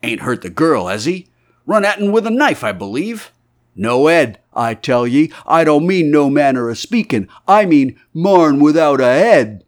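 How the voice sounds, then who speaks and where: A man voicing an excitable rustic male villager who talks in country dialect. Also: fairly high pitch, a little slow, pitched above the performer's own voice. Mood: agitated.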